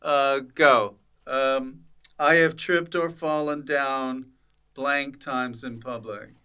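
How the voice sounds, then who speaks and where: A man's voice: mid-range voice, fairly even intonation, slow pace, bright, forceful tone, clean tone. A man hosting a podcast, bantering playfully with a guest and riffing in a jokey, teasing way. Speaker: podcast host.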